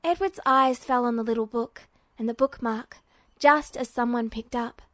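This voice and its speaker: A woman reads fiction aloud as a narrator. Her voice sits on the high side, and the recording is dry with very little room sound. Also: fairly even intonation, normal speed, fairly bright tone, no room sound. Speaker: podfic narrator.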